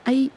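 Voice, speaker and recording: A woman playing a shy, flustered young vampire girl in love in an intimate ASMR roleplay, speaking haltingly and often dropping toward a whisper. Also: slight background noise. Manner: soft, halting, breathy whispers and nervous laughs.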